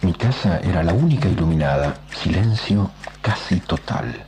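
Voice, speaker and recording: An actor playing a comics writer who narrates a strange visitation in a radio play, measured and suspenseful. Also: male voice, deep voice, expressive, noisy background. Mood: suspenseful wonder.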